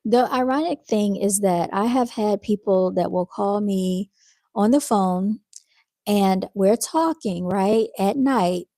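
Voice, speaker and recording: Soft-spoken lady, soothing mellow voice, dry close sound, just calmly chatting to her viewers. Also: medium pitch, dry recording. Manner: calm and unhurried, with fillers and restarts. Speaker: live-stream host reading viewer comments. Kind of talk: casual spoken monologue.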